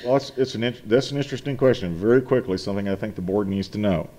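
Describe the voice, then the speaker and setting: A man's voice: lowish voice, fast talker, dark tone. A middle-aged man giving a formal finance report to a board, speaking earnestly and emphatically, often restarting his phrases. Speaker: college finance officer. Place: meeting room, seated at a table. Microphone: desk microphone.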